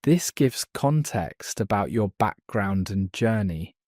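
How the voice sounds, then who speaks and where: A man gives a beginner English lesson in a slow, carefully paced voice. His voice sits a little low. The recording is dry and close, with no room sound. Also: expressive, a little mellow, dry recording. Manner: slow, clear, deliberate delivery for learners. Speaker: English teacher. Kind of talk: slow scripted lesson narration.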